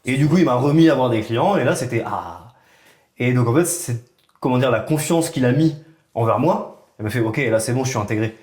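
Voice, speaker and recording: A man co-hosting a podcast, telling a personal story in relaxed, casual conversation full of fillers. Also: mid-range voice, fairly quick, roomy sound. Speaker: podcast co-host. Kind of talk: casual chat.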